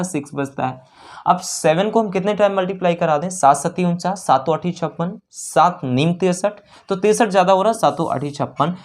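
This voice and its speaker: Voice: mid-range voice, darkish tone. North Indian maths teacher, instructional and repetitive. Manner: repeats phrases and checks in with learners; mixes Hindi with English numbers and terms. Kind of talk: exam problem-solving lesson, explained step by step.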